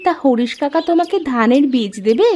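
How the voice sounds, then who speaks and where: Woman playing a high-voiced village housewife. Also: lively intonation, fairly quick, warm tone, slight background noise. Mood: curious, agreeable. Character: caring, inquisitive village housewife. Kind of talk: cartoon dialogue.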